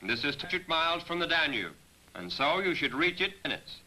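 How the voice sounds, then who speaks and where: A man narrating a scripted military training film as a briefing, in a measured, directive manner, on a 1940s film soundtrack. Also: fairly high pitch, very animated, sharp tone, low-quality recording. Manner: measured, directive, addressing aircrews. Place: voice-over recording for a 1943 film.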